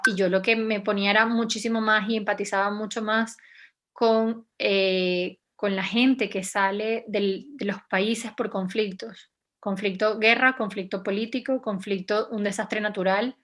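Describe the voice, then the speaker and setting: A young woman from Venezuela speaks as a journalist guest in an interview. Her voice sits at an ordinary middle pitch, and she pauses and restarts often as she thinks her answers through. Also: fairly even intonation, a bit bright. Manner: hesitant, many restarts and fillers, reflective.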